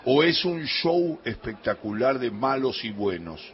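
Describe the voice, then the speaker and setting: A man's voice: mid-range voice, big pitch swings. Chatty Rioplatense radio host, man. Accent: Rioplatense Spanish. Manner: chatty, curious, quick follow-up questions. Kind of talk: radio interview. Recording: dull lo-fi radio sound.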